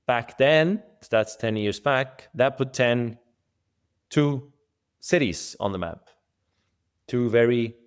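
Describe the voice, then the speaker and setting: Middle-aged Swiss founder, low and resonant, measured interview guest. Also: male voice, clear, resonant tone. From Switzerland. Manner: thoughtful, measured, with fillers and restarts. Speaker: venture studio co-founder, guest. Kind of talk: conversational podcast interview.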